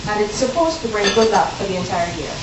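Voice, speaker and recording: A woman's voice: medium pitch, sing-song, fast talker, background noise. A woman from the Caribbean, hosting a live cooking demonstration and chatting with the audience. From born in Guyana, raised in Barbados. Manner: chatty, jokey, talks to the audience. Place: demo kitchen on a cruise ship. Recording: very echoey room, distant mic, faint ambience.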